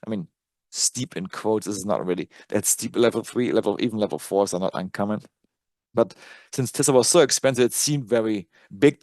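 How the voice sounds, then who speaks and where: A man's voice: mid-range voice, no echo. A native German-speaking man presenting casual live stock chart commentary, conversational and thinking aloud as he works. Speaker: trading analyst and presenter.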